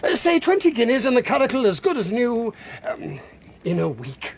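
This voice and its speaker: A high-pitched, crafty, creaky moneylender. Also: male voice, wildly swooping pitch, normal speed, slight background noise, exaggerated voice. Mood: oily, placating. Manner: mocking, theatrical, emphatic. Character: cunning, menacing male moneylender and coachmaker, oily when cornered. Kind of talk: period radio drama acting.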